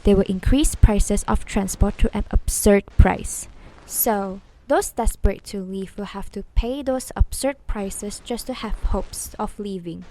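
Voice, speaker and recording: A woman's voice: mid-range voice, measured pace, warm tone, close to an everyday voice, low-quality recording. A woman playing a composed war expert in a radio news interview, formal and explanatory. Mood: grave, informative.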